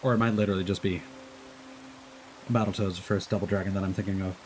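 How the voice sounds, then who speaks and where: A man's voice: sits low, fairly even intonation, quick pace, darkish tone, slight background noise. A man doing casual live gameplay commentary, chatty and thinking aloud. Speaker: game streamer.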